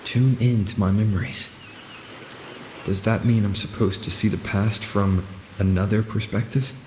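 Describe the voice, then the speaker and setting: An actor playing a calm, earnest young male wanderer hero in a video game, speaking in a measured, serious way. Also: on the low side, slight background noise, lightly acted. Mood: puzzled.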